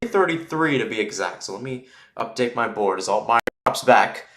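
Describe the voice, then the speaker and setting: A man's voice: medium pitch, expressive, normal speed, a bit bright, roomy sound. A man giving live play-by-play football commentary in an informal way, thinking aloud and correcting himself as he goes.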